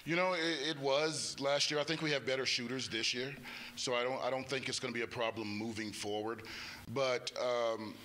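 A middle-aged man speaks as a basketball coach in a casual post-game interview. His voice is bright at a medium pitch, on a handheld mic with faint noise behind it. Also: fairly even intonation, moderate pace. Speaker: college basketball head coach. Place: indoors before a press backdrop. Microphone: handheld microphone.

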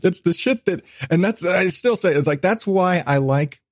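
A man's voice: sits high, animated, normal speed, dry recording, studio quality. A man hosting a casual podcast chat, rambling along and swearing freely. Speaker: podcast host.

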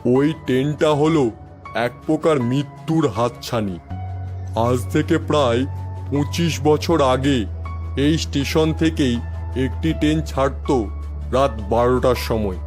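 A man's voice: mid-range voice, darkish tone, soft background music, natural voice. A man playing a grave, superstitious railway station master who speaks solemnly and ominously in a cartoon ghost story. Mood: ominous warning. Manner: slow, solemn, ominous delivery. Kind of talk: acted dialogue in cartoon horror story.

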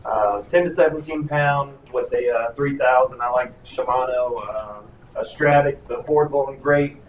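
A man's voice: mid-range voice, slight background noise. Eager man, distant and echoey. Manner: enthusiastic, halting with fillers. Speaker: charter captain. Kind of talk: casual panel answer. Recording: echoey room, far from mic, dull.

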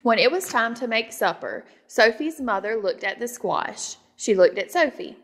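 A woman's voice: on the high side, expressive, normal speed, a bit bright. Woman reading a storybook in a reverberant room. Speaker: storybook reader. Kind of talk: children's read-aloud narration.

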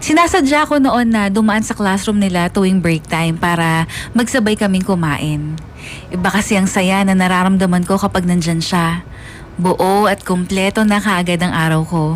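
A woman's voice: mid-range voice, moderate pace, slight background noise, lightly acted. A woman playing a lovestruck narrator who recounts her school crush earnestly and wistfully, as a radio drama confession. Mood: smitten. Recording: music under the voice.

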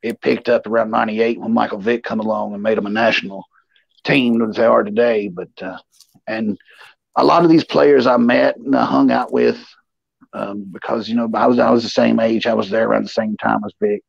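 Man, forties, gravelly and husky, rambling profane storyteller, dull dry livestream sound. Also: fairly low pitch, steady tone, brisk, no echo. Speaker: show co-host telling stories. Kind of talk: casual anecdotal storytelling. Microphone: remote livestream call. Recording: dull, muffled online stream audio.

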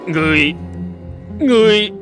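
A man's voice: high-pitched, lively intonation, a little slow, music in the background. A haughty, swooping cartoon king. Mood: outraged. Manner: haughty, commanding. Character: imperious, easily angered king in a folk tale. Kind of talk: Vietnamese cartoon dubbing.

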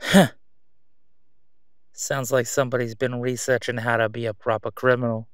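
A man acts out a middle-aged crime boss for an audio drama, sly and self-assured. He draws out his words with mocking condescension, smooth-talking his way along. The sound is close and dry. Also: mid-range voice, little pitch movement, dry recording, lightly acted. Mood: mocking, amused. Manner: sarcastic, smooth-talking, drawn-out condescending delivery. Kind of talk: roleplay audio drama monologue.

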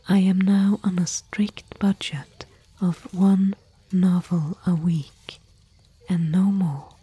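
A woman playing a thoughtful, bookish expedition companion in gentle, musing, whispery ASMR roleplay. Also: fairly low pitch, full character voice. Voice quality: breathy, whispery. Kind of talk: soft-spoken ASMR roleplay.

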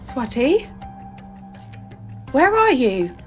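A woman's voice: high voice, very animated, drawn-out words, faint music behind, exaggerated voice. A woman playing an anxious mother searching for her missing daughter in an audio drama. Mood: worried, calling out.

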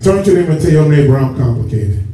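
A man's voice: on the high side, lively intonation, fast talker, warm tone. A man preaching a sermon as a church elder, conversational and addressing the congregation directly, stretching key words for emphasis. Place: church sanctuary with stage and rows of seats. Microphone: far camera at back of hall. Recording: echoey hall from far off, muffled, noisy.

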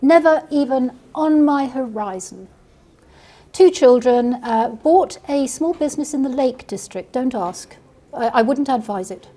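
A woman's voice: high voice, expressive, a little slow, mellow. A middle-aged woman with a Kenyan accent, a barrister telling her story on a panel in a seminar room, wry and self-deprecating. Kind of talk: panel conversation.

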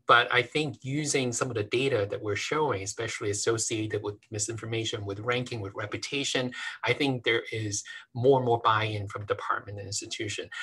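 A man's voice: fairly low pitch, sharp tone, breathy edge. A man in his thirties, a physician on a webinar panel over a video call, earnest and repeating words as he searches for them. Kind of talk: panel discussion answer. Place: hospital office, indoors.

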